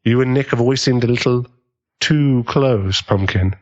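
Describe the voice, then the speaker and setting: A man playing an earnest, kindly government agent in an audio drama, with a measured, avuncular way of talking. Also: medium pitch, a little mellow, muffled recording, lightly acted. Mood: concerned.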